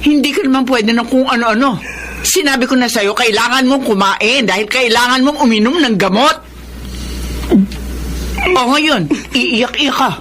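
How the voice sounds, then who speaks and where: Babaeng gumaganap na matinis na inang may lihim. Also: female voice, on the high side, normal speed, fairly bright tone, slight background noise, natural voice. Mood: exasperated, scolding. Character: older mother in a secret affair, impatient, sharp-tongued caregiver. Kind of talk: Tagalog radio drama acting.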